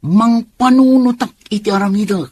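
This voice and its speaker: A man playing a chatty, forthright, soft-hearted landlord and neighbour in a radio drama, with a husky voice. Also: very high-pitched, fairly even intonation, moderate pace, dry recording. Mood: sympathetic, scheming.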